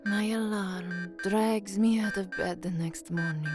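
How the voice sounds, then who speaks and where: An actress plays a young working woman from the slums in an audio drama. She is earnest and anxious, with a mid-range, introspective voice and measured delivery. Faint music sits behind her. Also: mid-range voice, steady tone, natural voice. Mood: weary, tense. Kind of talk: first-person dramatic narration.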